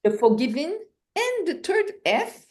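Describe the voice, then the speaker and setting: A woman's voice: sits high, a little slow. A middle-aged woman from Quebec, a native French speaker with a Quebec French accent, telling spiritual stories in an interview with laughs and acted-out scenes. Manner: animated storyteller, acts out scenes with laughs and cries. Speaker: guest.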